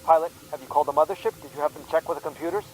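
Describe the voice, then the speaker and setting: A man's voice: fairly high pitch, little pitch movement, rapid-fire, over music, natural voice, poor audio. A man playing a lovelorn space commander in a radio drama, with an urgent, pleading, emotional delivery. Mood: desperate. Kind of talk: melodramatic radio drama acting.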